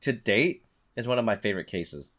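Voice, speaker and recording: A man's voice: fairly low pitch, lively intonation, brisk, a bit bright. A man chatting casually as a podcast co-host, informal and given to swearing. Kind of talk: casual chat.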